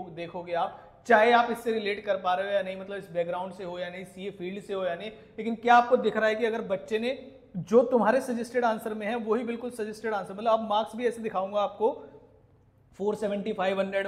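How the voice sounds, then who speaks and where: Fast, high-pitched Indian man, emphatic. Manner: emphatic, scolding, rhetorical questions. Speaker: teacher. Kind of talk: indignant video rant to viewers. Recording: echoey room.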